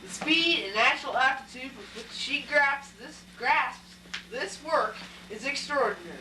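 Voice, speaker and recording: A woman's voice: high-pitched, sharp tone. Woman as a formal military boss, echoey. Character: formal military boss reading an official memo. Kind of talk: amateur stage play, formal reading. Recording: echoey hall, distant mic, faint noise.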